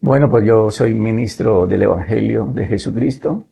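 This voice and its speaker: A man in his fifties from Nicaragua, a pastor and missionary, telling his life story in a measured way and often adding "¿verdad?". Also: fairly low pitch, creaky, dark tone, breathy edge. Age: 50s. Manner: measured, adds "¿verdad?" tags. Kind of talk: relaxed testimony in conversation.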